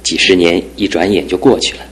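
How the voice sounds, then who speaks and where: A man's voice: low voice, steady tone, brisk, slight background noise. An actor voicing a good-natured, joking young man who is a bit pampered, in a studio radio drama. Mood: lighthearted. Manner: jokey and warm-hearted, earnest when serious. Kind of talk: 1960s-70s studio radio drama acting.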